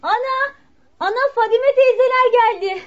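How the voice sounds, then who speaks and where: A dutiful, shy young daughter in a radio drama. Her voice is very high and youthful. The recording is dull and lo-fi. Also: moderate pace. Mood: eager, polite. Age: young adult. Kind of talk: Turkish radio theater acting.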